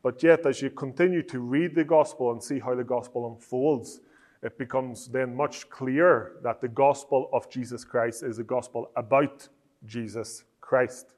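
A man from Northern Ireland with a Northern Irish accent, giving a measured, explanatory teaching talk on preaching. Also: medium pitch, fairly even intonation, darkish tone. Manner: measured, explanatory, with frequent restarts.

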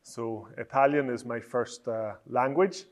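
A man from Northern Ireland with a Northern Irish accent, giving a measured, explanatory teaching talk on preaching. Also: medium pitch, steady tone. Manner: measured, explanatory, with frequent restarts.

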